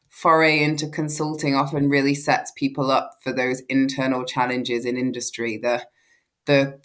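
Woman hosting a podcast interview. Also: very deep voice. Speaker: host.

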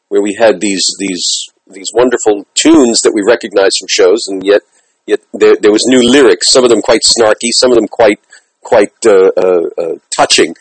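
Male host, low husky voice, dry close sound, talkative, stumbles into restarts. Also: normal speed, dry recording, low-quality recording. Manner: chatty, restarts phrases, enthusiastic. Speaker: interview host. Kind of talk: radio interview.

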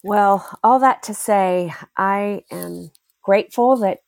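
A woman interviewer speaking encouragingly and haltingly, with many ums and restarts. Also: medium pitch, creaky, dry recording. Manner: warm, affirming, hesitant with many fillers. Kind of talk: casual conversation.

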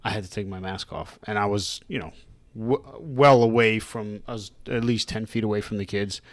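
Loud dad-type guy joking around on a podcast, dry close mic. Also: on the low side, steady tone, normal speed, no echo. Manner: jokey and chatty, laughs often. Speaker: podcast co-host. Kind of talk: casual chat.

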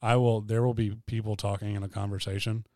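A soft-spoken man co-hosting a casual podcast chat, laid-back with dry humor. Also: on the low side, flat delivery, a little fast, no echo. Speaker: podcast co-host. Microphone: studio mic.

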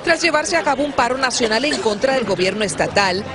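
A woman delivering a television news report in a lively newscast way. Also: a little high, a little fast, over music. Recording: news clip with loud street crowd. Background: loud crowd and street noise.

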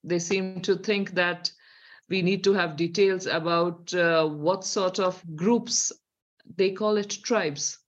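A woman's voice: lowish voice, fairly even intonation, takes it slowly, dull audio. A middle-aged woman with an Indian accent, co-hosting a talk show with sardonic, questioning commentary. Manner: sardonic, questioning, reads from reports. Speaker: co-host.

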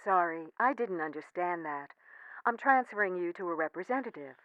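A woman's voice: on the low side, animated, fairly bright tone, no room sound. An automated cable company phone menu with a female voice, relentlessly polite and oblivious. It delivers chirpy scripted prompts with swinging intonation in an audio comedy. The sound is narrow, like a phone line. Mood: polite, unruffled. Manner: measured, chirpy, formulaic customer-service delivery. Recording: band-limited telephone line sound.

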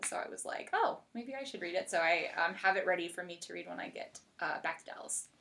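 A woman hosting a podcast in a casual chat, conversational and quick to react to what she hears. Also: lowish voice, expressive, gravelly, bright, forceful tone. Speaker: podcast host.

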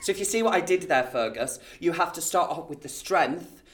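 A man's voice: a little high, lively intonation, brisk, a bit bright. A man who performs as a pantomime dame, coaching casually in a playful, encouraging and instructive way.

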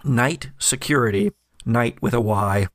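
A man's voice: medium pitch, a little slow, no echo, studio quality. A man narrating as game master of a tabletop game, describing scenes with frequent fillers.

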